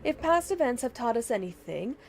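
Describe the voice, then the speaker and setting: A woman's voice: a little high, sing-song, a little fast, gravelly, slight background noise. A woman livestreamer reading fantasy game dialogue aloud in a dramatic way, with game music behind her.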